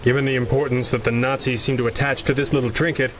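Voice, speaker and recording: A man's voice: mid-range voice, flat delivery, quick pace, slight background noise, natural voice. A man acting an unflappable, wealthy masked hero in a 1930s-style radio drama, with dry, genteel wit. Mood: grave, measured.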